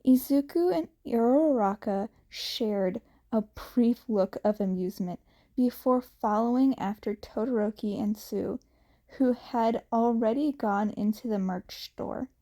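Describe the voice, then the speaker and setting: A woman reading fan fiction aloud as an expressive narrator, with halting, deliberate pauses. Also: on the high side. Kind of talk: expressive scripted story narration.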